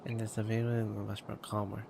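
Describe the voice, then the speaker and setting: A man livestreaming a game, reading and commenting in a halting, mumbled way. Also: on the low side, completely flat delivery, creaky, slight background noise. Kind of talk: live playthrough, reading and commenting. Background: loud game music.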